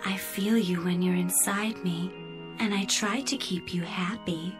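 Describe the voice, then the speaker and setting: A woman playing a devoted, sultry spaceship computer in a radio drama, breathy, coaxing and intimate. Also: fairly low pitch, steady tone, a little slow, over music, close to an everyday voice. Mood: seductive, soothing. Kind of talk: dramatic radio play acting.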